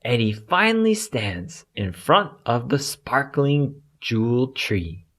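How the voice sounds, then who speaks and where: A narrator reading a scripted picture story aloud for young children, deliberately and in simple phrases with pauses. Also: mid-range voice, big pitch swings, a bit bright.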